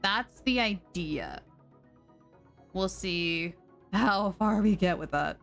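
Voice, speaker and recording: A woman's voice: mid-range voice, takes it slowly, gravelly, soft background music. Chatty woman streamer thinking aloud. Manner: chatty, rambling, thinking out loud with fillers. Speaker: game streamer. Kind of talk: casual live-stream chat.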